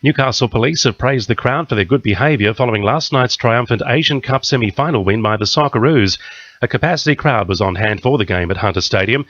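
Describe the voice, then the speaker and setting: A man's voice: medium pitch, fairly quick, fairly bright tone, no room sound, muffled recording. A man with an Australian accent reading a scripted radio news bulletin. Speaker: news reader.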